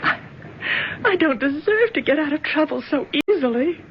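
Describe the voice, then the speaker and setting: An anxious young woman speaks with emotional, breathy feeling. Her voice sits fairly high. A faint hiss runs under the muffled old radio sound. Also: animated, normal speed. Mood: remorseful. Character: Lynn, anxious young woman caught up with crooks. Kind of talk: 1970s radio drama acting.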